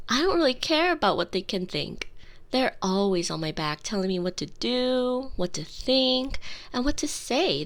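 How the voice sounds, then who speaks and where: A woman playing a sheltered, haughty, lonely young princess in an intimate, theatrical ASMR roleplay on a close binaural mic, sometimes dropping into whispers. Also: mid-range voice. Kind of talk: ASMR roleplay, scripted monologue. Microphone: close binaural microphone.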